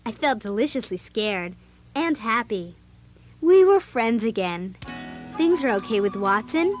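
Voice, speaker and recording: Earnest bossy kid babysitter in an audio drama, highish voice, really swoopy and expressive. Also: female voice, a little slow, faint music behind. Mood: proud, reflective. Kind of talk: audio drama acting and first-person narration.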